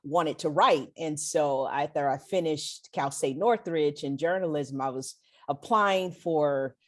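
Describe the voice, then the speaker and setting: A woman's voice: sits low, unhurried. An American woman from Los Angeles speaking as an interview guest in a casual, informal, emphatic way. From Los Angeles, United States. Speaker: interview guest, professor and author.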